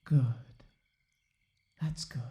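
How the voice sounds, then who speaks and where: A man playing a hesitant, courteous young colonial lawman in a period audio drama, formal and earnest with halting phrasing. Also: dark tone, in a whisper. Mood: uneasy, courteous. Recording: roomy echo.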